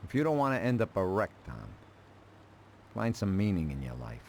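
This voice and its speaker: Paternal mob counselor, low voice, calm, advising, faint background noise, crime game. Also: male voice, normal speed. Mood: concerned. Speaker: character in a crime video game. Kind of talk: measured dramatic dialogue.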